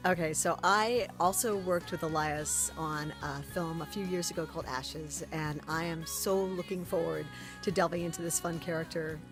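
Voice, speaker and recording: A woman's voice: low voice, steady tone, normal speed. An upbeat woman hosting a vlog as interviewer and actress. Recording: music behind the voice. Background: music.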